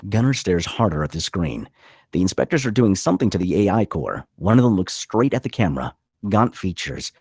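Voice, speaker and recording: A man's voice: low voice, sing-song, fairly quick, a little mellow, husky, no echo, studio quality. A man reading scripted stage directions as the narrator of a screenplay.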